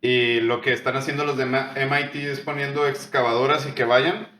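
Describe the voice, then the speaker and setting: A man's voice: medium pitch, flat delivery, moderate pace, sharp tone, roomy sound. A young man from northern Mexico with a northern Mexican accent, co-hosting a casual podcast chat and explaining at length in heavy slang. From Tijuana, Mexico. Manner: slangy, explains at length, drops "güey" constantly. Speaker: podcast co-host.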